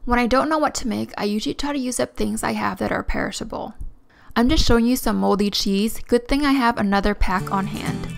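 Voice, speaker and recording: A woman's voice: on the high side, brisk, gravelly. A young woman home-making vlogger giving a casual voice-over narration. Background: background music.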